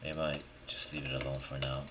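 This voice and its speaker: A man thinking aloud in a casual screen-recorded software tutorial, muttering in short, slangy fragments as he works. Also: a bass voice, level tone, fast. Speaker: 3D modeling tutorial host. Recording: clear background noise, keyboard and mouse clicks. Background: typing and mouse clicking.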